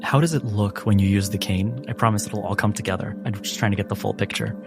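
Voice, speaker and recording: A man running a tabletop game as game master, narrating in measured, dramatic phrases. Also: lowish voice, vocal fry. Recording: music bed under the voice.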